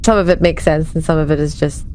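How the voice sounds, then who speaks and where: A woman's voice: low-pitched, fairly even intonation, quick pace, darkish tone. A woman playing a tabletop role-playing game, casually voicing and describing her character. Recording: faint background noise.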